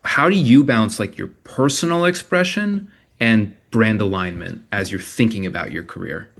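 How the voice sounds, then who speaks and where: A man with a fairly low voice hosts an online panel discussion. He introduces each guest with enthusiasm and strings together long questions with several parts. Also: expressive, normal speed, creaky, breathy edge. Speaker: panel moderator.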